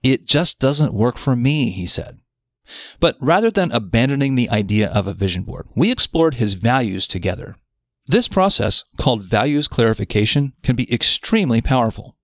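A man reading a scripted self-development article aloud in a measured, articulate, explanatory manner. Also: mid-range voice, sing-song, no room sound, studio quality. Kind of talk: scripted narration of an audio article.

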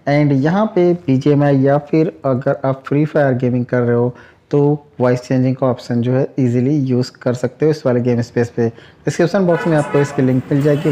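A man hosting a tech review, explaining phone software in a chatty, repetitive way with English tech terms mixed in. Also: medium pitch. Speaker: tech channel host.

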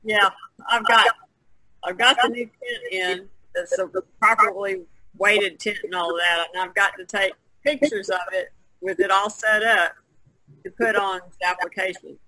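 A woman's voice: medium pitch, animated, measured pace, sharp tone, no echo, low-quality recording. A woman taking part in a casual group chat over an online video call. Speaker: podcast participant.

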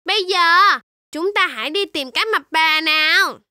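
A woman's voice: extremely high pitch, measured pace, bright, forceful tone, no echo, studio quality, full character voice. A woman voicing an eager little baby shark in a children's cartoon, exclamatory and sing-song, talking straight to young viewers in style. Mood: determined, cheerful.

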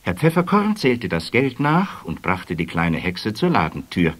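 A man narrating a children's story in an expressive, scripted storytelling manner. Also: sits low, measured pace.

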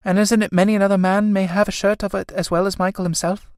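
A woman's voice: mid-range voice, little pitch movement, fast, darkish tone, dry recording, clean studio sound, voice pushed up, natural voice. A woman playing an anxious, scolding young Irish elder sister in a stage drama, speaking in an earnest, urgent way. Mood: dread.

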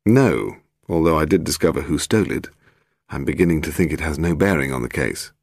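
A British man voicing a polite, inquisitive gentleman detective in an audiobook. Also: rumbling low, animated, a little fast, creaky, dry recording, clean studio sound, exaggerated voice. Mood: probing. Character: courteous, probing gentleman detective-novelist.

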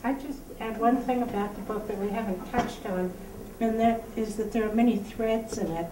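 A woman in the audience calmly adding a point in a book discussion. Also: fairly high pitch, moderate pace. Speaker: audience member. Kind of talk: casual discussion. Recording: echoey room from a distance, hiss.

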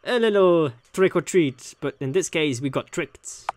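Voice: mid-range voice, lively intonation, a little slow, darkish tone, slight background noise. A person doing casual gameplay commentary, musing aloud in hesitant asides. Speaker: video game player reading and commenting.

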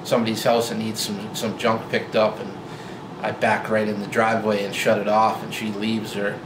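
A man in his forties talking casually to camera about his old truck, relaxed and rambling with lots of fillers and restarts, filmed in a garage. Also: on the low side, normal speed. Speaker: vlogger. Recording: roomy garage with loud hum.

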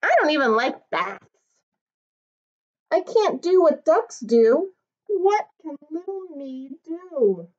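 A woman's voice: sits high, big pitch swings, slow, darkish tone, pitched above the performer's own voice, full character voice. A woman voicing a tiny three-pound male dog who sadly wishes he could do what bigger animals do, in a plaintive sing-song storybook way. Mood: wistful. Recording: dull lo-fi recording.

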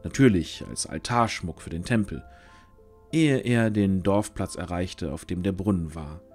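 A man's voice: sits low, a little slow, darkish tone, soft background music. A man narrating a scripted fantasy audio drama as its storyteller.

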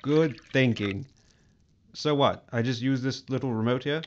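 An actor playing a pompous, self-absorbed boss in an audio drama, grandiose and breezily dismissive. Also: male voice, medium pitch, a little slow, muffled recording, background noise, close to an everyday voice. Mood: smug, bossy.

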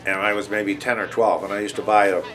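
A man's voice: fairly low pitch, flat delivery, quick pace, fairly bright tone, distant mic. An older man reminiscing in an interview.

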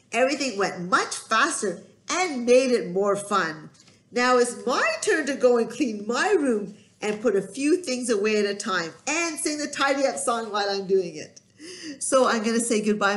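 Female children's storyteller, middle-aged. Highish, very animated, emphatic delivery in a roomy home recording. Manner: expressive, emphatic, sing-song delivery for young children.

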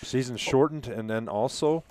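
A man's voice: mid-range voice, normal speed, darkish tone. A middle-aged man co-hosting a casual podcast discussion, speaking into a headset mic. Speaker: podcast co-host. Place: small wood-panelled room, around a table.

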